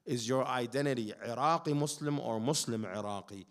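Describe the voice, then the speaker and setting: A man who was born in Iraq and grew up in Canada, delivering a sermon at a Muharram gathering. He recites Arabic verses and translates them, and moves from quiet reflection to loud, emphatic declaration. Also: mid-range voice, moderate pace.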